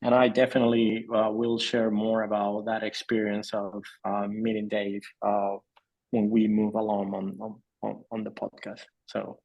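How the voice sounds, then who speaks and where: A man's voice: lowish voice, completely flat delivery, moderate pace, gravelly, lo-fi sound. A man in his thirties from Venezuela, a native Spanish speaker, introducing himself in a friendly, hesitant way with many uhs. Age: 30s. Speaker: podcast guest.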